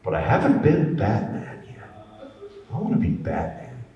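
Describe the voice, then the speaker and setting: A middle-aged man, a voice actor and former radio DJ, telling stories in a chatty, animated way at a convention panel. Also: medium pitch, unhurried, vocal fry, lo-fi sound, soft background music. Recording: very echoey hall from far off.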